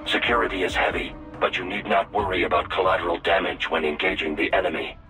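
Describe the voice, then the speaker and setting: An actor playing a formal, humorless robotic AI guide in a video game, precise and deadpan with a synthetic evenness. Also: male voice, deep voice, quick pace, faint music behind. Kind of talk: scripted game acting.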